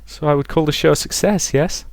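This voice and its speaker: Man doing a cheerful, teasing young lady, raised pitch. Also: brisk, gravelly, no echo. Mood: delighted.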